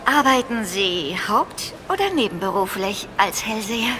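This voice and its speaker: An actress playing a cheeky, cheerful young Victorian wife who teases playfully in a German radio play. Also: female voice, mid-range voice, animated, moderate pace, very noisy, natural voice. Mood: curious, cheerful. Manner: playful, teasing, exclaiming.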